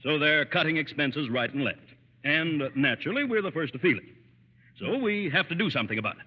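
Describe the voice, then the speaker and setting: Bossy older judge, pompous, declamatory, highish lively voice, vintage radio play, muffled and echoey. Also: male voice, brisk, slightly rough. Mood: pompous, solemn. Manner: authoritative, declamatory. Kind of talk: 1930s-40s radio acting.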